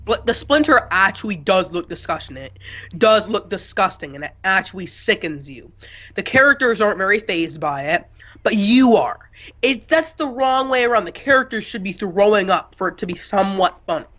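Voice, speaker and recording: A man's voice: high-pitched, expressive, normal speed, sharp tone. Blunt young guy with a cold. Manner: blunt, dismissive, opinionated. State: getting over a cold, sniffly. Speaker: co-host reviewer. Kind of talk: casual review chat.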